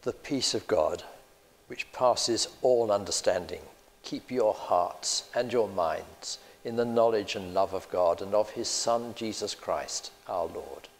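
An older man, a priest, giving a formal blessing in a measured way inside a church. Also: lowish voice. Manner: measured, formal liturgical delivery. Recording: long church reverb.

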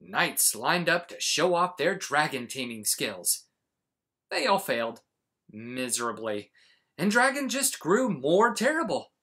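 A man in his forties reading a children's storybook aloud, with dramatic, exaggerated emphasis and pauses. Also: on the high side, sing-song, pushed and bright, slightly rough. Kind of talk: animated storybook read-aloud.

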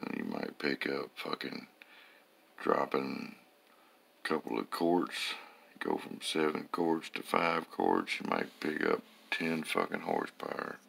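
A man with an extremely low voice that has a gravelly fry to it. He talks slowly and casually, like a hobby mechanic walking through his work in the garage. His way of speaking is folksy and plain, peppered with swear words. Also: very animated, a bit bright, a little hiss or hum. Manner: profane, folksy, plain-spoken. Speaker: amateur engine builder and vlogger. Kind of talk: casual hands-on garage walkthrough. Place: indoor garage or workshop with concrete floor.

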